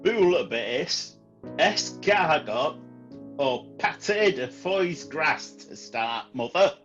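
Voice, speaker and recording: Exasperated clergyman played by a man for radio comedy: fussy, indignant, slow and animated, dull recording. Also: fairly high pitch. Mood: weary, exasperated. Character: exasperated, aggrieved clergyman, husband and father. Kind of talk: comic radio-play acting. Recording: dull home recording, music underneath.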